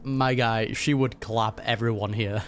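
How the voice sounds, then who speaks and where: A man's voice: on the low side, fairly even intonation, a little slow, slight background noise. A man giving casual, slangy reaction commentary on a video game, with a wry manner. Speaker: gaming reaction host. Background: game music.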